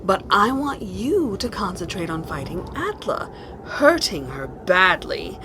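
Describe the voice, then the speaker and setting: A woman's voice: mid-range voice, sing-song, unhurried, noisy background. A woman playing a cruel, impatient evil empress in a fantasy audio drama, haughty in her commands and savoring her threats. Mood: menacing, scheming.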